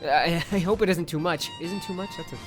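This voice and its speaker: A man's voice: fairly high pitch, a little fast, music in the background, natural voice. A man playing a nervous, lovestruck young space cadet in an anime parody, stammering and awkward. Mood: flustered.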